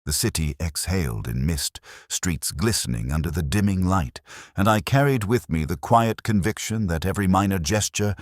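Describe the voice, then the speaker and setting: Very deep-voiced man narrating a detective story. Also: no room sound, studio quality. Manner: measured, literary, contemplative delivery. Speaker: audiobook narrator. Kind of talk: scripted first-person detective story narration.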